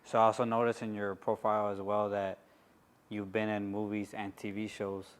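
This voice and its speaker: Low, flat-voiced male interviewer. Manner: measured, with "um" between phrases. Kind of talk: interview questions.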